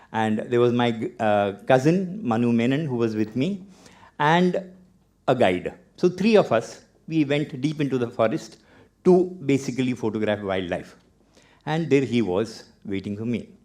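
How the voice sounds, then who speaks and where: An older Indian man with an Indian accent giving a talk on stage, telling his story with wry humor and dramatic pauses. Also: medium pitch, a little mellow. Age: 50s or older. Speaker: TEDx speaker.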